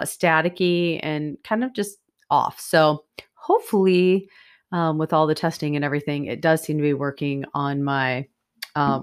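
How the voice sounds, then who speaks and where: A woman's voice: deep voice, clean tone. A woman hosting a casual solo podcast, chatty and rambling, her voice stuffy and nasal from a sinus infection. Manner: chatty, rambling, many fillers. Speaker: podcast host. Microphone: new USB microphone.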